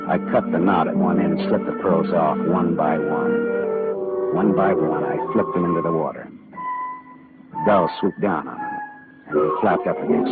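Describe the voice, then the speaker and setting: Male actor as a laconic hard-boiled detective for 1940s radio drama; low voice, tinny broadcast. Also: natural voice. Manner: dry, laconic first-person narration. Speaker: lead actor. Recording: tinny old radio broadcast with loud music.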